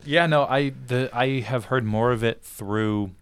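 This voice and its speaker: A man co-hosts a casual podcast in a blunt, offhand way, swearing now and then. His voice sits at an everyday middle pitch. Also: measured pace, clean tone. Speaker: podcast co-host.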